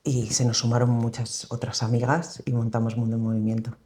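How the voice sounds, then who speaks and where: A woman's voice: extremely low pitch, little pitch movement, a little fast, noisy background. A woman with an accent from Spain talking as an interview guest, telling her story in a reflective, loosely structured way. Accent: Spanish from Spain. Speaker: interview guest, co-founder of a social organization. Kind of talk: conversational interview account.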